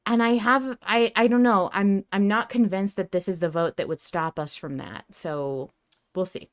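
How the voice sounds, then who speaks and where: Clear-voiced woman chatting as podcast host. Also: mid-range voice, fairly even intonation, moderate pace. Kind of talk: casual discussion of a fiction podcast.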